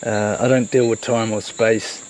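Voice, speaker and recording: A person giving a casual, reflective monologue, speaking earnestly and haltingly with repeated words. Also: mid-range voice, little pitch movement, a little mellow. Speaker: host of a solo talk. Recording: noisy, with chirping insects behind.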